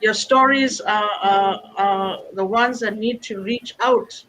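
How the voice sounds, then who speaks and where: A man facilitating a conference session, warmly urging the speakers on and often repeating words as he restarts a sentence. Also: sits high, steady tone, slow, slight background noise, rough recording. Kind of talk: conference remarks.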